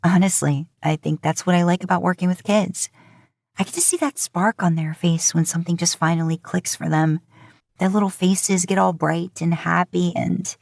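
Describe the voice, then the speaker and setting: A woman's voice: on the low side, steady tone, moderate pace, gravelly, darkish tone, dry recording, studio quality. A woman voicing a nervous, chatty young woman who wants to teach, earnest and breezy, in an audio fan fiction. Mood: gushing, earnest.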